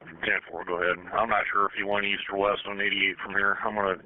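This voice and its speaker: A male patrol officer calls in over police radio with a fairly low voice. The sound is thin and phone-like, with hardly any room around it. His phrasing is clipped and procedural, and he spells words out. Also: level tone, quick pace, no room sound. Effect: two-way radio.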